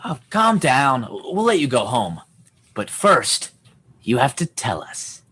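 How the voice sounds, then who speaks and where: Huxley: nosy, excitable boy with a mid-pitched voice, teasing and a bit cruel, radio comedy, acted by a performer. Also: slow, a little hiss or hum. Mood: gleeful, mocking. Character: eager, gleefully mean, nosy boy Huxley. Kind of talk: comedy radio theatre acting.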